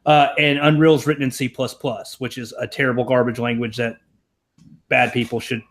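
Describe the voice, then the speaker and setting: A man's voice: medium pitch, little pitch movement, normal speed, fairly bright tone. A young man from Tennessee with a Southern accent, a programmer talking as a podcast guest in casual, rambling, self-deprecating chat with casual swearing. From American South, Tennessee.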